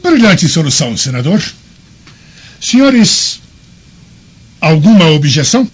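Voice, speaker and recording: An actor playing an authoritative Roman governor in a radio drama, solemn and oratorical, with a husky voice. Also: male voice, a little high, very animated, slow pace, highs cut off, heavily acted. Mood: deliberating, authoritative.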